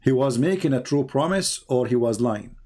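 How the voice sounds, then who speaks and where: A man's voice: medium pitch, lively intonation, normal speed, warm tone. A man hosting a live call-in debate show, pressing his caller with repeated pointed questions.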